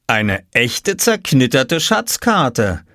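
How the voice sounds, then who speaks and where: A man's voice: on the high side, huge pitch swings, a little slow, fairly bright tone, dry recording, clean studio sound, voice pushed up, heavily acted. A man voicing an amused father in a storybook, marvelling playfully along with his child. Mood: amazed, playful. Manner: astonished, playful.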